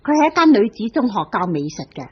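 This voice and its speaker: Breathy, lilting woman narrator. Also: on the high side, very animated, fast talker. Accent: Hong Kong Cantonese. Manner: storytelling, lilting delivery. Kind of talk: scripted radio drama narration. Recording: dull old radio broadcast.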